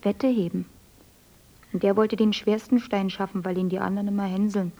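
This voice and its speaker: An actress playing a young woman on a farm, retelling events in a hushed voice and softly musing to herself. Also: mid-range voice, little pitch movement, brisk, highs cut off, natural voice. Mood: hushed report. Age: young adult. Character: clever young woman, the miller's adopted daughter.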